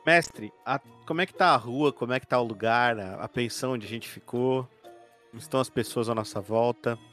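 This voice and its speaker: A man's voice: mid-range voice, a little fast, fairly bright tone. Male tabletop player, playful descriptive improvised narration over a music bed. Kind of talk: improvised narration of a roleplay game.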